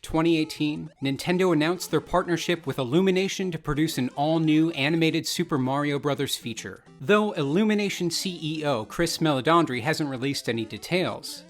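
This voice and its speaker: A man narrates a scripted video essay in a slightly high-pitched voice. Music plays underneath him. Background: background music.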